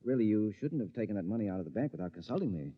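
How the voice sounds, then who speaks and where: An American actor playing an excitable, bossy but affectionate married man in an emphatic 1950s radio drama style. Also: on the low side, racing, no room sound. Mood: weary affection. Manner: emphatic, theatrical. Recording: muffled vintage 1950s radio recording.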